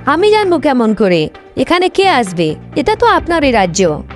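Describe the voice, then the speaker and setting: A woman's voice: high voice, wildly swooping pitch, moderate pace, soft background music. A woman voicing a young, fretful princess in a fairy-tale cartoon, speaking in a theatrical, plaintive way. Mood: annoyed.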